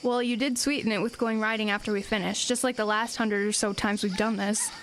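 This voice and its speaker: A woman's voice: mid-range voice, fairly even intonation, fairly quick, a little hiss or hum, close to an everyday voice, low-quality recording. A woman playing a practical, inquisitive small-town girl in an audio drama. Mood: casual, curious.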